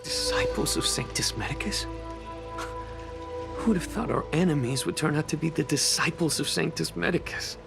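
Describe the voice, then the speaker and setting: An actor playing a troubled male soldier in a video game, with a gravelly voice. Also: on the high side, normal speed. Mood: incredulous, uneasy. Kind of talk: scripted video game dialogue. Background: music.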